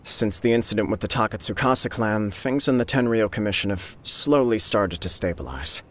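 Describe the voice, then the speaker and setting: Man playing a low-voiced, humble young clan leader. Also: expressive, normal speed, slight background noise, lightly acted. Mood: humble, earnest. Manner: formal, modest, earnest. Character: humble, dutiful young samurai clan head. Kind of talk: scripted video game dialogue.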